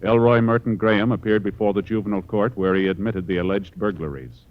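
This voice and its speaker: An American man reading scripted narration as a formal, measured 1950s radio announcer. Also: on the low side. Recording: muffled old radio recording. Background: orchestral music.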